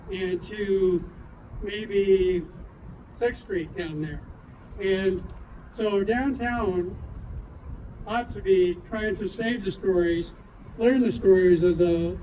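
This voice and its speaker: Male public speaker, high but mellow voice, halting delivery with restarts, recorded outdoors from a distance. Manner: halting, repeats and restarts words. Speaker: guest speaker at a public event. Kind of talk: informal public speech on local history. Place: outdoors at a downtown festival. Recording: distant outdoor mic, street noise.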